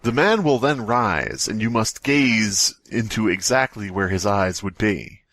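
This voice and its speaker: Male co-host, lively swooping delivery, playful, drops joking asides into casual horror story readings. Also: on the low side, unhurried. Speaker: podcast co-host. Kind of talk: casual comedic chat with read-aloud horror story.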